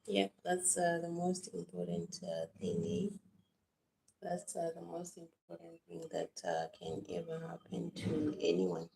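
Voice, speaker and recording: A woman from Zimbabwe hosting a live stream outdoors on a veranda, hoarse from just waking up, talking slowly with many halting fillers into a phone set on the floor. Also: lowish voice, sing-song, warm tone, slight background noise, poor audio. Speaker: livestream host. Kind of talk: casual live-stream chat.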